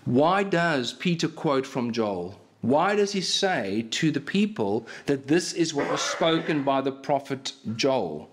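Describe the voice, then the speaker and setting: A man's voice: medium pitch, big pitch swings, takes it slowly, hardly any pauses, slight background noise. A man preaches a sermon in a small church hall. His is Afrikaans, and he talks animatedly into a stand microphone. His thoughts tumble out with frequent restarts. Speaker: pastor. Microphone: stand mic in front of him.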